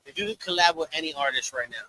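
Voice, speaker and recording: A male host running a casual live interview, quick and swearing as he pushes viewers to call in. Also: on the high side, sharp tone, no room sound, poor audio.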